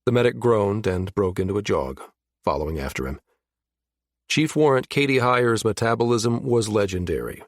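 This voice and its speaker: A man reading a science fiction audiobook in a steady, measured narration. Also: on the low side, dry recording, studio quality. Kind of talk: scripted narration.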